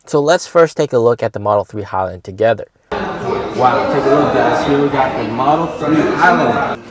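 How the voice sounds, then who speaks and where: A man's voice: mid-range voice, normal speed, husky, dry recording. A man hosting a casual car review vlog, talking to viewers in an emphatic way and repeating words for stress. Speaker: host of an electric car stock channel.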